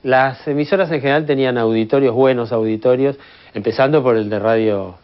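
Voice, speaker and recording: A man's voice: mid-range voice, a little fast, clear, resonant tone. A man with an Argentine accent explaining methodically in an interview, like an expert. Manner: methodical, didactic. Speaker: radio history expert. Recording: slightly dull lo-fi sound.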